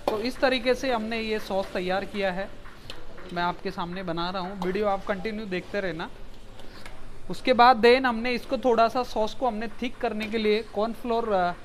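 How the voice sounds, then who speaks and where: Chef explaining steps over sizzling pans. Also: male voice, high-pitched, a little hiss or hum. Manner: explains step by step, mixing English words into Hindi. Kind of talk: cooking demonstration. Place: commercial kitchen. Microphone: lapel.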